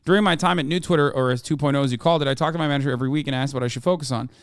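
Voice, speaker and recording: Guy doing a nordic accent, icelandic dude reading his thread, dry n sardonic, smooth clean voice. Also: mid-range voice, very fast, no room sound, natural voice. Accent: put-on Nordic, meant as Icelandic. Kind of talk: reading a written thread aloud.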